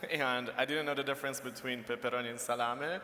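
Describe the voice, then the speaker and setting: A man recounts a light story on a panel. His bright voice sounds roomy and distant. It sits in a large, echoing hall with faint ambience. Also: medium pitch, steady tone, a little fast. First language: Italian.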